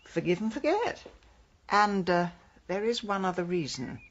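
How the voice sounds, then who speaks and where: A witty, bossy English lady detective in a radio play. Her received-pronunciation voice is husky and swoops with arch irony, clipped and dry, on a slightly dull recording. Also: mid-range voice, very animated, measured pace. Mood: brisk, smug. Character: sharp, imperious, witty amateur detective woman, middle-aged. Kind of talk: radio drama acting.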